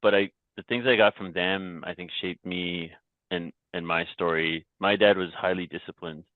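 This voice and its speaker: A very low-pitched man speaks as a podcast guest, recounting his own story in a reflective, halting way with frequent restarts. His voice comes through a thin, phone-like remote line with a dry, close sound. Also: steady tone, dry recording. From Canada. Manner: reflective, many restarts and repeated words. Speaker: podcast guest, company founder. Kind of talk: casual interview talk.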